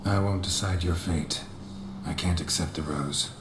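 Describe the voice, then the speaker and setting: A very deep, gravelly monster hunter, stoic and terse, as heard in a fantasy video game. He speaks flatly and gruffly. The sound is roomy, with clear noise around him. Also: measured pace. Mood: grave, resolute. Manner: terse, flat delivery. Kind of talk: video game dramatic acting. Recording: roomy echo, noisy game ambience.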